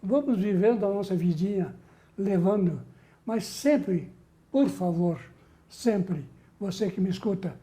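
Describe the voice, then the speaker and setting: Older man, halting and sentimental. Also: high voice, animated, warm tone. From Rio Grande do Sul, Brazil. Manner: halting, repeats words, affectionate and sentimental. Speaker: guest, veteran musician and bandleader. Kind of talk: conversational interview.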